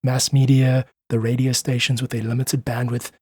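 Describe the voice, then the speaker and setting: A man's voice: medium pitch, flat delivery, normal speed, mellow, slightly rough, no echo. A South African man speaking as a musician guest in a conversational interview, emphatic, persuasive and informal as he gives his opinions.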